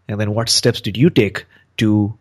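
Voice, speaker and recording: A man's voice: lowish voice, steady tone, normal speed. A man hosting a podcast, giving an earnest motivational talk and asking questions. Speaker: podcast host.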